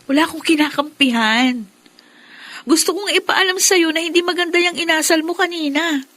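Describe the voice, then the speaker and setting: A woman's voice: very high voice, normal speed, a bit bright, no echo. A woman playing a fair-minded, outspoken young sister in a Filipino radio drama. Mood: reproachful.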